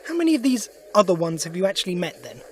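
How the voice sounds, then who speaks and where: An actor playing a sharp, principled alien adventurer in an audio drama, brisk and inquisitive. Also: male voice, sits high, big pitch swings, slight background noise. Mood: pointed questioning. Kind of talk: full-cast audio drama acting.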